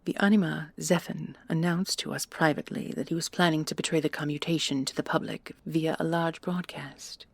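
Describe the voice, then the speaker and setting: Woman as husky, creaky, earnest sci-fi commandant. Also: low-pitched, steady tone, no room sound. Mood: guarded, formal. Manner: formal, deferential, halting under pressure. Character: Carol, proud, earnest, anxious female sci-fi commandant. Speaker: voice actress and creator. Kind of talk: audio roleplay acting.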